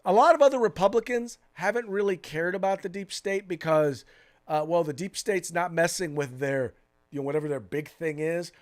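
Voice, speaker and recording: A man's voice: fairly high pitch, normal speed. An American man co-hosting a political talk show, giving opinionated commentary in an emphatic way, repeating phrases for stress.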